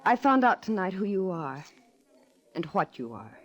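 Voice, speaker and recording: An actress playing a proud, virtuous young mission worker, measured and earnest, in an old-time radio melodrama. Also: female voice, mid-range voice, sing-song, poor audio. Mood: bitter, wounded.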